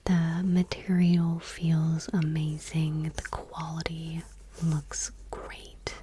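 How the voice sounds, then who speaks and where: A woman speaks in a gentle ASMR whisper, slightly low and very mellow. She takes her time with every phrase, close to the microphone, with a faint noise behind her. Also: monotone, drawn-out words. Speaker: ASMR creator and host. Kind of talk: soft-spoken ASMR, whispered product showcase.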